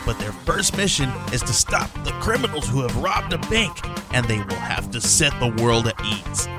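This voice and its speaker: Higher-voiced man giving a promo over music. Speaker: comic creator promoting a crowdfunding campaign. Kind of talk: promotional pitch. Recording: music bed under the voice.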